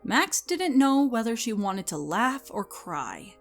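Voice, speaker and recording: Expressive woman narrating a story over music. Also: fairly high pitch, slow pace, vocal fry. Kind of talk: scripted dramatic story narration.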